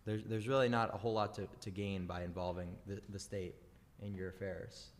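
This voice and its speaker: A man hosting a couple's session, giving his opinions in halting casual talk full of uhs and you knows. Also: fairly low pitch, little pitch movement, big-room sound. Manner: halting, many uh and you know. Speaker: host giving advice.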